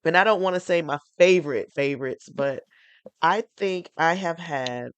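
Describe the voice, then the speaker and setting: A woman's voice: sits low, moderate pace, smooth. A congested woman hosting a podcast, chatting casually in a rambling, enthusiastic way, restarting her sentences often. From North Carolina. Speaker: podcast host. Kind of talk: casual porch chat.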